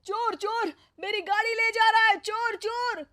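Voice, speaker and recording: A woman's voice: very high-pitched, fairly even intonation, measured pace, pushed and bright, dry recording, heavily acted. Cartoon young village husband, voiced by a woman, proud and hearty, close dry sound. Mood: panicked.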